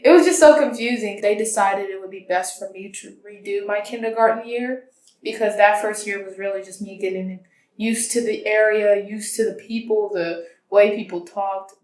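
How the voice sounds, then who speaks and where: A woman's voice: mid-range voice, normal speed, darkish tone. A young woman telling personal stories casually and conversationally, as an interviewee. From born in Tanzania, grew up in the United States. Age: young adult. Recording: roomy, echoing interview recording.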